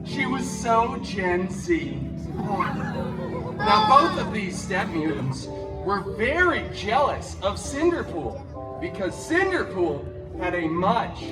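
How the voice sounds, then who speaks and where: An actor playing a wisecracking, brash costumed superhero, telling a comic story live to a crowd with sarcastic asides. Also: male voice, high-pitched, huge pitch swings, slow, recorded from a distance, exaggerated voice. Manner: sarcastic asides, exaggerated delivery. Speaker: theme park character performer telling stories to guests. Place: outdoor theme park show area. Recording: echoey PA outdoors, music and crowd.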